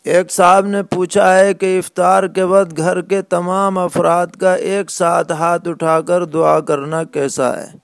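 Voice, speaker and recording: Male religious scholar, very unhurried and deliberate, slightly high-pitched, giving plain rulings in question-and-answer form. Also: little pitch movement, gravelly, dry recording. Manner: measured, deliberate, pausing mid-sentence. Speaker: Islamic scholar (mufti).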